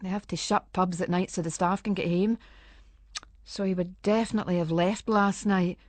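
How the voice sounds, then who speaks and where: A woman's voice: lowish voice, steady tone, moderate pace, dry recording, natural voice. A woman playing a chatty, anxious housewife in a radio drama, rambling on in homely broad Scottish dialect. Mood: restless, fretting.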